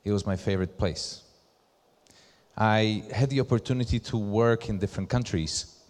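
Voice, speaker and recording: Male presenter, Slovak accent, lower register, deliberate emphatic phrasing, live stage talk with long hall reverb. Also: darkish tone. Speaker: presenter, brand ambassador. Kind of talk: conference presentation. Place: large hall at a live event.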